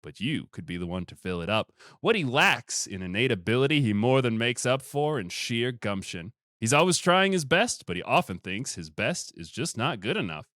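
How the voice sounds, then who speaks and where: A man hosting a casual podcast with a booming voice, playful and given to mock-announcer introductions. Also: big pitch swings, moderate pace, hardly any pauses, fairly bright tone, no echo, clean studio sound. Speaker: podcast host. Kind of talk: casual chat and comic introductions.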